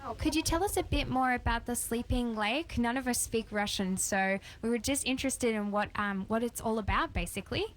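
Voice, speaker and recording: A woman's voice: fairly high pitch, fairly quick, hardly any pauses, slight background noise. A woman hosting a radio interview, asking questions and enthusiastically praising the answers.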